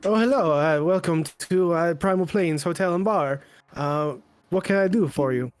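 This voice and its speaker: A man's voice: on the high side, normal speed, clean tone, no room sound, voice pushed up, lightly acted. A man putting on a hammy Italian innkeeper. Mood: welcoming. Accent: put-on Italian accent. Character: overly forward Italian male hotel-bar owner.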